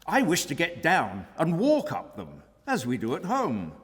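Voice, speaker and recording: A man's voice: a little high, huge pitch swings, unhurried. A man reading a gothic novel aloud as dramatic literary narration. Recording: reverberant hall at a live reading.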